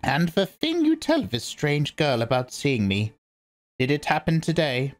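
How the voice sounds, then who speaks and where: A man reading aloud and voicing a stern, commanding old woman, a religious elder, in a sharp and lecturing manner. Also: a little high, huge pitch swings, measured pace, voice pushed up.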